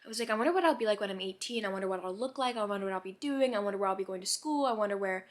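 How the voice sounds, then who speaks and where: A woman's voice: mid-range voice, very fast. A young woman of about eighteen in a casual, rambling, reflective chat to viewers, musing and questioning herself with lots of "like". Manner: musing, self-questioning, heavy use of "like". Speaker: vlogger.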